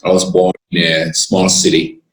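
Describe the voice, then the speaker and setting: Deep-voiced man, echoey room. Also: little pitch movement. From Cameroon. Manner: expansive and earnest, pauses to gather his words. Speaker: guest, lawyer. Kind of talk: reflective storytelling in conversation.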